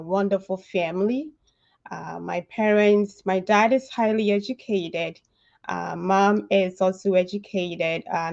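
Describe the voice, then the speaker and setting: A woman's voice: mid-range voice, gravelly, muffled recording. A woman in her thirties with a Ghanaian accent, telling her own story casually as an interview guest, repeating words for emphasis. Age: 30s.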